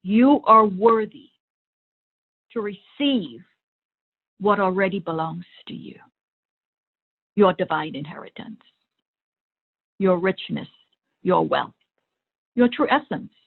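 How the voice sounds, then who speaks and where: A woman meditation coach giving a spiritual talk in deliberate short phrases, with long pauses between them. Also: medium pitch, gravelly, breathy edge. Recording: thin phone-line sound.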